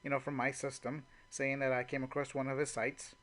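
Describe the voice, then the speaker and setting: A man's voice: mid-range voice, completely flat delivery, brisk, a bit bright. A man working as a network marketing trainer, talking informally and off the cuff as he walks through call examples, often saying "you know". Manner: conversational, unscripted, frequent "you know".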